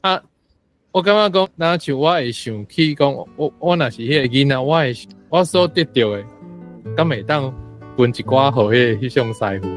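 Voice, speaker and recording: A man's voice: medium pitch, animated, moderate pace, faint music behind. A man with a Taiwanese accent giving his reading of a story as a guest in a conversational discussion. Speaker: guest panelist.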